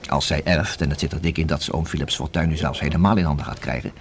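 An actor in a radio play as a methodical police inspector who reasons and questions in a formal, measured, deliberate way. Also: male voice, very deep voice, fairly even intonation. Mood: pensive, speculating. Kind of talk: radio drama acting. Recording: hissy old radio play recording.

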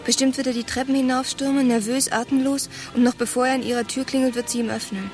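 A woman's voice: high voice, steady tone, fast, darkish tone, soft background music. A woman playing a nosy, judgmental onlooker in a radio play, reporting what she spies in short, insinuating phrases. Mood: mounting fascination.

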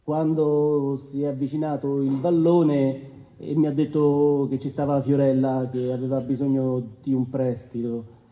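A man performs an accused man testifying in a radio play. He is evasive and halting, repeating himself in everyday speech at a medium pitch. The recording is very thin, like a telephone line. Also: flat delivery, natural voice. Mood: hesitant. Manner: halting, repetitive, defensive. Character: evasive, hesitant male defendant, defensive and plain-spoken. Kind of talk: courtroom drama testimony, colloquial. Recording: thin phone-like band-limited sound.

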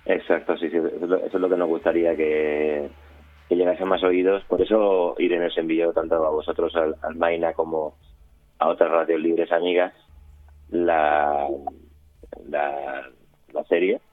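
A man's voice: sits low, level tone, moderate pace. A man with an accent from Spain answering casually in a radio interview, hesitant and often repeating words. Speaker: community radio member, interviewee. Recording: roomy, phone-like remote line.

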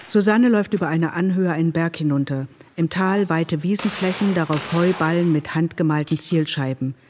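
A woman reading scripted drama narration and stage directions in a measured, detached way, in short phrases. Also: deep voice, background noise.

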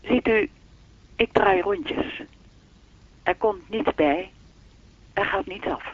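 A woman playing a lonely speaking clock in a radio play, measured, formal and deadpan, through a telephone filter. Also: medium pitch. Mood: lonely, resigned. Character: lonely, evasive female speaking-clock voice with a will of its own. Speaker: telephone time announcer. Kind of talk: radio play acting, formulaic announcement turning to dialogue. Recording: narrow, tinny phone-line sound.

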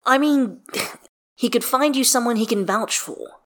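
A woman's voice: on the high side, measured pace, a bit bright, pitched above the performer's own voice. A woman voicing an earnest, exasperated man in a story, speaking in formal, precise, emphatic sentences. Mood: dry, insistent.

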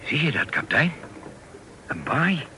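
A man's voice: fairly high pitch, big pitch swings, measured pace, pushed and bright, a little hiss or hum, exaggerated voice. A man playing an anxious, deferential young sailor who talks in colloquial questions in an old radio play. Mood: curious.

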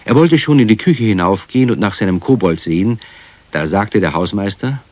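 A man narrating a children's radio play as a calm, wry storyteller in a scripted delivery. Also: lowish voice, brisk, dry recording. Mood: wry, storytelling.

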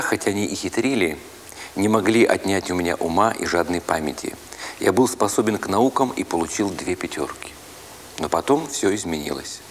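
A man reads a first-person literary story as a dramatic narrator, with expressive, deliberate phrasing. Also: low-pitched, a little hiss or hum. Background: music.

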